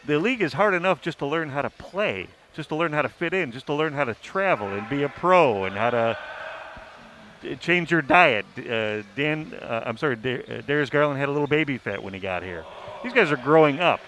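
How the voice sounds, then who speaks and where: A man's voice: a little high, expressive, brisk, a bit bright. A man talking analytically as a beat writer on a sports panel, listing examples to make his points. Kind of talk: pre-game sports panel discussion. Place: sports arena. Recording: faint venue noise.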